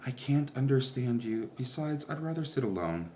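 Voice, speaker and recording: A man's voice: medium pitch, animated, moderate pace, warm tone, a little hiss or hum, pitched above the performer's own voice, natural voice. A man voicing a reserved teenage girl in a storybook reading, speaking tersely and matter-of-fact. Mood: weary. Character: reserved teen girl, flat and withdrawn.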